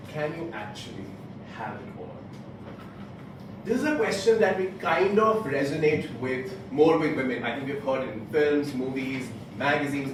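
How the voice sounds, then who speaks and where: A man gives a motivational speech in a deliberate, rhetorical way, pausing between short phrases. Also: mid-range voice. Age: late twenties. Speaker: club speaker giving a prepared speech. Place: indoor club meeting room, picked up from a distance. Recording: very echoey room, distant mic, background noise.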